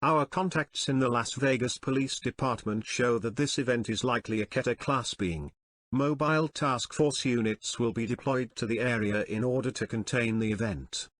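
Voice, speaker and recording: A man's voice: medium pitch, normal speed, a bit bright. A man reading a scripted official alert as a command announcer, in a formal, impersonal, clipped way. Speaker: command announcer issuing a special warning.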